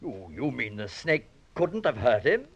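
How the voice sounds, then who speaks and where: An actor playing a mild, baffled colonial British police officer with understated politeness in old-time radio drama. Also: male voice, mid-range voice, very animated, a little slow, a bit bright, heavily acted. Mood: mild, regretful.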